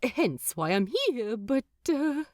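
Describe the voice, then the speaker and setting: A woman voicing a fussy, flustered male angel who speaks hesitantly with many pauses and uhs. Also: fairly high pitch, very animated, no room sound, studio quality, pitched above the performer's own voice. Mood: earnest.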